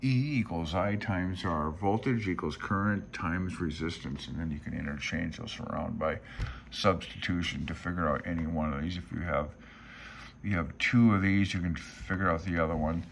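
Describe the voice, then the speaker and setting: Man in his sixties, low voice, laid-back, thinking aloud, informal hobbyist walkthrough, reminiscing. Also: moderate pace, creaky, a little hiss or hum. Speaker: amateur radio hobbyist presenting a handbook. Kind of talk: casual rambling commentary while paging through a book.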